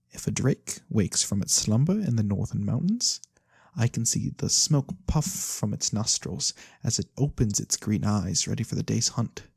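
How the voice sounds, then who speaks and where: An ASMR roleplayer voicing a sly, mysterious lorekeeper who tells fantasy tales for coin, soft-spoken, breathy and theatrical, with deliberate pauses. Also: mid-range voice, dry recording, studio quality, heavily acted. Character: sly mysterious nonhuman lorekeeper, fortune-telling storyteller for coin.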